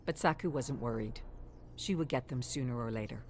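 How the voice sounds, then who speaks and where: A woman reading scripted dark fantasy narration in a measured, dramatic storytelling way. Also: extremely low pitch, a little hiss or hum.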